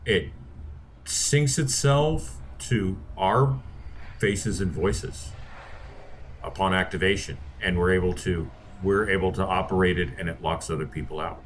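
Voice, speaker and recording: A man's voice: sits low, a little slow, a little hiss or hum. A man playing in a tabletop game, easygoing and hesitant, with plenty of fillers. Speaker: player. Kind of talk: casual tabletop game chat.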